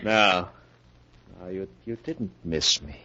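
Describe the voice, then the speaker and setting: An actor playing the Devil as a suave, mocking, menacing lover in a 1940s radio melodrama. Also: male voice, low-pitched, bright, forceful tone, heavily acted. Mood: hypnotic menace. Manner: slow, drawn-out, menacing, mocking delivery. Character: the Devil as a suave, sneering, gloating male lover. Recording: dull vintage 1940s radio recording.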